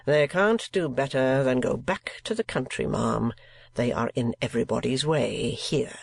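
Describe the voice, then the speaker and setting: A woman reading a blunt, stern gentleman. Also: a bass voice, creaky, slightly rough, no room sound, exaggerated voice. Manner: blunt, clipped and deliberate.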